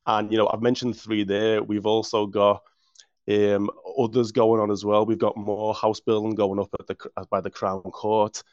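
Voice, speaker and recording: Enthusiastic Liverpool-accented man, council official; low, level voice, muffled dry audio. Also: flat delivery, normal speed, a little mellow, no echo. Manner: enthusiastic, restarts words often. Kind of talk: interview answers.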